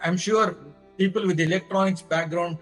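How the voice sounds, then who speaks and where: A man with an Indian accent giving a technical lecture as a presenter, explaining deliberately step by step in short phrases. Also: high-pitched, fairly even intonation, fairly bright tone, soft background music, rough recording. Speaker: lecturer presenting own engineering research.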